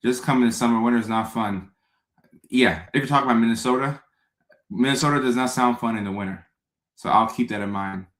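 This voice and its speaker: A man's voice: medium pitch, brisk, a bit bright. A man from Ghana, a comedian, chatting casually with live-stream viewers, greeting them by name and often repeating himself. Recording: echoey room.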